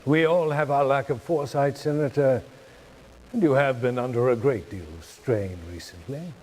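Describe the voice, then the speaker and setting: An older man playing a falsely polite, menacing corporate chairman in a video game, speaking in a measured, condescending way. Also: medium pitch, a little mellow, slight background noise, lightly acted. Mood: falsely sympathetic. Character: smooth, menacing older male chairman of a ruthless consortium. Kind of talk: dramatic video game cutscene acting.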